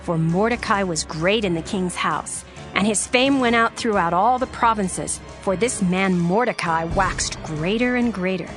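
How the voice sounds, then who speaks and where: A woman narrating scripture in a steady, formal storytelling cadence. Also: medium pitch, unhurried. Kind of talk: dramatized scripture reading. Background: soft music.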